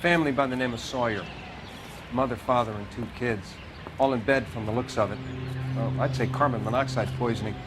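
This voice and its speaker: An actor playing a wry, articulate, matter-of-fact coroner in a crime video game. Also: male voice, medium pitch, fairly quick, music in the background, close to an everyday voice. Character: dry, candid male coroner, loyal and wry. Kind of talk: dramatic game cutscene acting.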